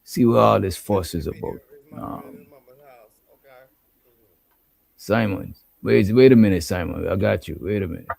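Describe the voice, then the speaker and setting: A man's voice: deep voice, moderate pace, gravelly, darkish tone. A man hosting a live online stream, talking loosely and drawling to his chat while he plays clips. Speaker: livestream host. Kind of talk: casual stream commentary.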